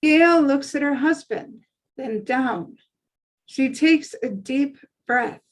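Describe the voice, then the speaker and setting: A woman with a high voice narrates a screenplay's action lines. Her reading is slow and scripted. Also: rough recording. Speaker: stage directions reader.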